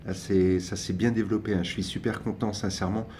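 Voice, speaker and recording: A man's voice: lowish voice, flat delivery, a little fast, dark tone, a little hiss or hum. A young man casually commenting while filming his ant colony, hesitating often with fillers and restarts. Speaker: ant-keeping hobbyist vlogger.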